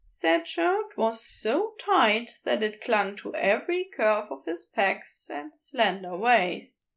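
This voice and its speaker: A woman reading a story aloud as a narrator, in expressive scripted narration. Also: on the high side, takes it slowly, creaky. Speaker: podfic narrator.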